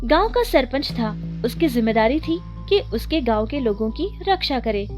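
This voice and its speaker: A woman narrating a children's cartoon story in a steady storytelling manner. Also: a little high, normal speed, faint music behind.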